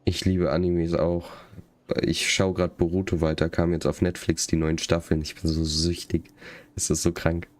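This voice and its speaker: A man's voice: sits low, little pitch movement, moderate pace, a little mellow. A man doing casual live gaming commentary, chatting to viewers and reading game text aloud. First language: German. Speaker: game livestreamer.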